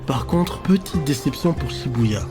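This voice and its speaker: A man in his thirties from Belgium, calmly narrating a scripted travel voice-over. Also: mid-range voice. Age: 30s. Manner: calm, measured storytelling. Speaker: vlogger. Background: music bed.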